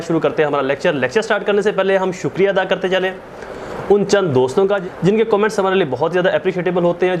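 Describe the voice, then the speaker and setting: High-pitched Pakistani man giving a lecture. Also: slight background noise. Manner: didactic, repeats and rephrases key terms. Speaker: law lecturer. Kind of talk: explanatory lecture mixing Urdu with English terms.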